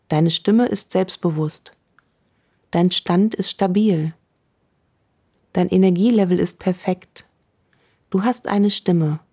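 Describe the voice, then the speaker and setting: A woman guiding a meditation in a slow, calm, soothing voice, speaking affirmations to the listener. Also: medium pitch. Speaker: meditation guide. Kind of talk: guided meditation.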